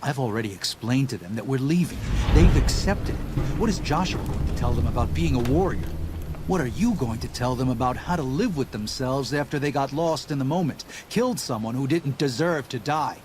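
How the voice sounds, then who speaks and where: An actor playing an earnest, weary pacifist missionary in a video game, moralising in a pleading manner. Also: male voice, medium pitch, animated, moderate pace, background noise, close to an everyday voice. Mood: pleading, frustrated.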